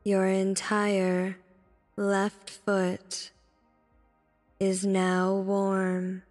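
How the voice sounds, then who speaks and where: A woman narrating a guided meditation in a soothing, deliberate manner, speaking in short instructive phrases. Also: medium pitch, monotone, darkish tone. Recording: long reverb with background music. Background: music.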